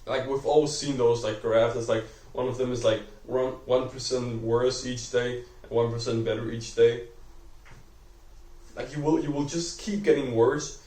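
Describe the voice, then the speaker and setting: A young man with a Danish accent talks unscripted to a camera, rambling and filling every pause with "like" while he corrects himself. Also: mid-range voice, steady tone, dark tone, recorded from a distance. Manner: rambling, heavy use of "like", self-correcting. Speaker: vlogger. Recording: echoey room, voice off-mic.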